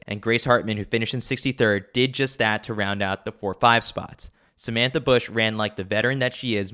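A man's voice: on the low side, fairly bright tone. A man narrating a scripted sports newsletter as a podcast host, with a wry, opinionated way of talking.

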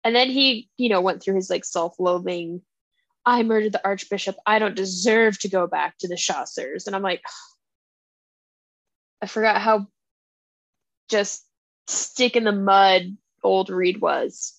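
A young woman co-hosting a casual book podcast, animated and often laughing as she acts out characters' lines. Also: medium pitch, slow, creaky, long pauses, dry recording. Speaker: podcast co-host. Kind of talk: casual chat about books.

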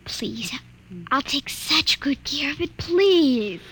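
An actress playing an excitable, moody young girl in an old radio drama, childlike and pleading. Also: sits high, slow, full character voice. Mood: excited. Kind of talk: 1950s radio drama acting. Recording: noisy old radio recording.

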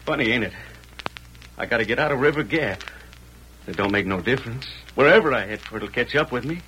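An actor playing a bitter, desperate young cowboy with an American Western drawl and folksy slang in a radio western. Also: male voice, medium pitch, expressive, a little fast, pushed and bright. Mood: bitter, resigned. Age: young adult. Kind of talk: 1940s radio western acting. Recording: noisy vintage radio recording.

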